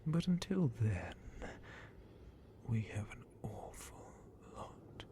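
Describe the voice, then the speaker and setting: A man voicing an ancient, sadistic dragon captain in an ASMR roleplay, menacing and condescending in a breathy, gravelly whisper with drawn-out theatrical delivery. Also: medium pitch, very animated. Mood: darkly amused, possessive. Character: ancient sadistic male dragon guard captain, possessive captor.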